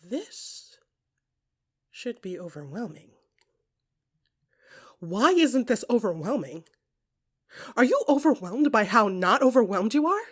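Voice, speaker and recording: A woman with a husky, breathy voice narrates an intimate audio drama addressed straight to the listener. Her delivery is expressive and often hushed, moving between soft musing and more emphatic phrasing. She sets short phrases apart with deliberate pauses. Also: a little high. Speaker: narrator and performer of an audio fiction podcast. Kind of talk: intimate second-person dramatic storytelling.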